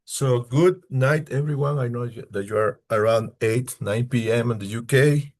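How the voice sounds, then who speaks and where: A man from Ecuador with an Ecuadorian Spanish accent, giving an informal online slide talk in halting non-native English as a tour leader. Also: mid-range voice, darkish tone. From far south Ecuador. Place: indoors on a video call.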